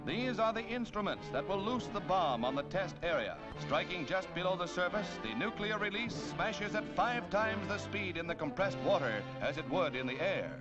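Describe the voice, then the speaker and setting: A man's voice: high voice, expressive, normal speed, few pauses, loud music behind the voice. An American man reading scripted 1940s newsreel narration in a dramatic, declamatory manner, on a period film soundtrack. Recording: dull, band-limited 1940s newsreel soundtrack.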